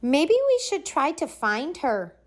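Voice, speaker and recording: Woman putting on high-pitched, excitable schoolkids. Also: slow, exaggerated voice. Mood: worried. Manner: high, eager exclaiming. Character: excitable, mischievous young schoolchildren.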